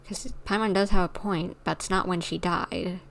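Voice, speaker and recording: Low, creaky-voiced woman game streamer. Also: fairly even intonation, normal speed, poor audio. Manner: informal, conversational, thinking aloud. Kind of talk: casual livestream commentary and dialogue read-along.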